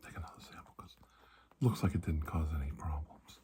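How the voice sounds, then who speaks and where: A man playing a calm, reassuring doctor in a soft-spoken ASMR medical roleplay, often dropping into a whisper with slow pauses. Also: a bass voice. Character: calm, reassuring male doctor treating an alien affliction.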